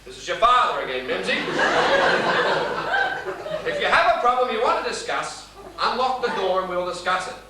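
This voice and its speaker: A man's voice: high-pitched, sing-song, moderate pace, pauses often. An actor playing an exasperated, blustering middle-aged father of a bride in a live comic stage play. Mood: stern, angry. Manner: loud, blustering, exasperated, theatrical. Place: live stage in a small theatre. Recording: echoey theatre stage, distant mic, faint noise.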